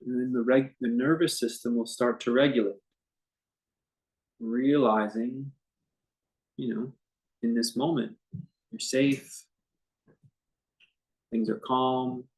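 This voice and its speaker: A man leading a guided meditation as a teacher, speaking in an unscripted, conversational way with gentle, halting phrases and long pauses. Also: medium pitch, steady tone, poor audio.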